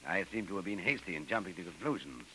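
A man's voice: deep voice, expressive, quick pace, sharp tone, rough recording. A man playing an earnest, formal and pious missionary in an old radio jungle adventure. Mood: earnest, conciliatory. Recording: hissy old radio recording.

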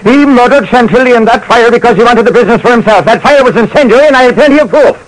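A man's voice: very high voice, quick pace, voice pushed up. A man playing an obsessed, insistent fire battalion chief in an old-time radio crime drama. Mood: angry, bitter. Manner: emphatic, insistent. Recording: noisy old radio recording.

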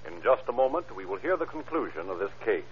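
A man announcing in formal, measured 1930s radio sponsor style, with a husky voice. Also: lowish voice, big pitch swings, faint music behind. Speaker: announcer and narrator. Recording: narrow, tinny 1930s radio recording.

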